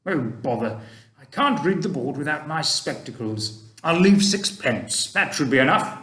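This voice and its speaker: A man's voice: fairly high pitch, very animated, measured pace, a bit bright, echoey room. A man voicing a kindly, genteel old male tramp, polite and old-fashioned. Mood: fussy, genteel.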